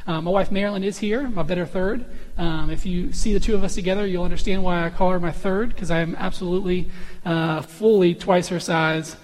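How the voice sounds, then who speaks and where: A young man with an unusually high voice, a visiting college minister opening his sermon in a friendly, self-deprecating, chatty way. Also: steady tone, fairly quick. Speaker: guest preacher, college minister. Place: church sanctuary. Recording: long church reverb.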